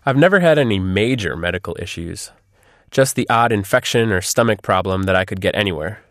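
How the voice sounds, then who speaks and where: A man's voice: on the low side, animated, a little fast, fairly bright tone, dry recording, studio quality. A man reading scripted answers as the guest in an interview.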